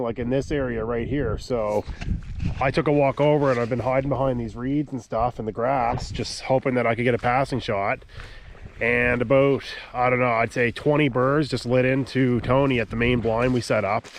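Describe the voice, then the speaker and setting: A man's voice: mid-range voice, a little hiss or hum. A man from Nova Scotia, stuffed up from a cold, chatting casually to the camera outdoors at a tidal marsh and often trailing off with "so" and "um". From Nova Scotia, Canada. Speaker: hunting vlogger, channel host. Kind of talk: casual outdoor vlog narration.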